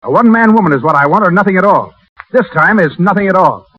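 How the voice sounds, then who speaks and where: A man's voice: high-pitched, lively intonation, quick pace. An actor playing a hard-boiled, proud legionnaire private with slangy tough-guy talk in a 1930s radio drama. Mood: bitter, cold. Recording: muffled 1930s radio recording, music behind.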